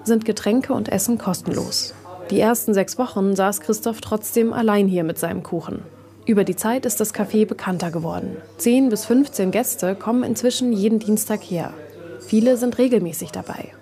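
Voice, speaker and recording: Female reportage narrator, mid-pitched relaxed voice, reading a prepared feature script. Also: normal speed.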